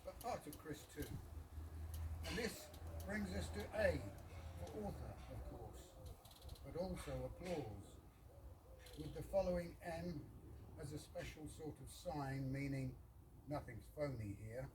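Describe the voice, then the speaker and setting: Distant, slightly high-voiced man reciting verse. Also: lively intonation, measured pace, long pauses. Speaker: reader of a poem at a birthday gathering. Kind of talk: poetry recital to friends, with informal asides. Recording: distant mic with clear background noise.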